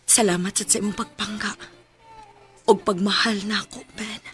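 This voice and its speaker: An actress playing a gentle, affectionate married woman in love with an older man in a Cebuano radio romance drama, with a Cebuano accent. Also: medium pitch, fairly even intonation, moderate pace, natural voice. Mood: tender, concerned.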